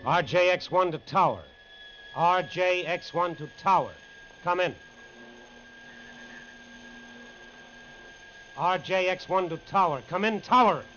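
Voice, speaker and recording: An actor playing a shaken, insistent air force major and test pilot in an old-time radio drama, speaking with urgency. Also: male voice, high voice, moderate pace, fairly bright tone, faint music behind. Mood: urgent. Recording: muffled, faintly noisy old radio recording.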